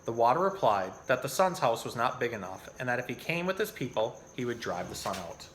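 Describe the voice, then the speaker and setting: A man's voice: medium pitch, very animated, fairly quick, a bit bright, a little hiss or hum, low-quality recording. A man presenting informally as a zookeeper hosting an educational animal storytime, explaining things in plain, everyday language. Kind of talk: casual educational talk and storybook reading.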